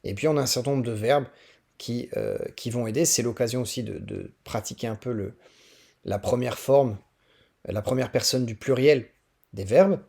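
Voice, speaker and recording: A man's voice: medium pitch, moderate pace, gravelly, a little mellow. French man talking through a tutorial, hesitantly. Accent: French from France. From northern France. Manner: hesitant, explanatory, thinking aloud. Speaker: French-as-a-foreign-language teacher presenting resources. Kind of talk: informal screen-demonstration tutorial.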